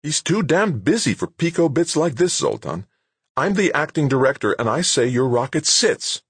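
A man plays a woman in charge of a base in a novel. She is brisk, good-natured and firm, speaking in a lifted, lively voice with a dry, close sound. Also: medium pitch, husky, no room sound, pitched above the performer's own voice. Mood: snappy, firm. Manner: plain-spoken, patient but firm. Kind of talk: acted audiobook dialogue.

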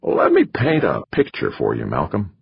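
A man's voice: sits low, wildly swooping pitch, normal speed, vocal fry, slightly rough, exaggerated voice. A man voicing a wiry, edgy male campaign manager with a scratchy voice, pressing his point urgently in an audiobook. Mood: insistent. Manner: pushy, urgent persuading. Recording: dull lo-fi recording.

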